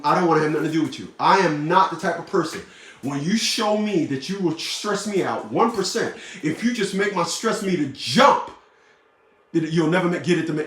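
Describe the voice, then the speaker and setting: A man's voice: a little high, fairly bright tone, reverberant, recorded from a distance. A man in his fifties talking casually to the camera, blunt and boastful, swearing and repeating himself. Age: 50s. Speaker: vlogger.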